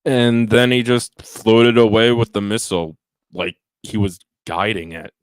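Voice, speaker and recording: A man's voice: on the low side, fairly even intonation, a little slow, clear, resonant tone, no echo, lightly acted, poor audio. A man acting a shaken, wounded soldier giving an eyewitness account in a radio play.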